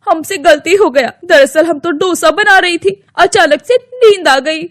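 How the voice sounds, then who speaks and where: A woman's voice: a little slow, a bit bright. A woman doing a chirpy sleepy young bride. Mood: pleading. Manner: quaint broken Hindi, high chirpy. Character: young South Indian daughter-in-law, sleepy, earnest.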